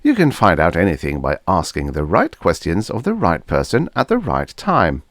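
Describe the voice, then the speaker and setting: A man's voice: low-pitched, wildly swooping pitch, moderate pace, no room sound, clean studio sound. A man voicing a shifty older mad doctor in a science fiction audiobook, fussy, theatrical and patronizing.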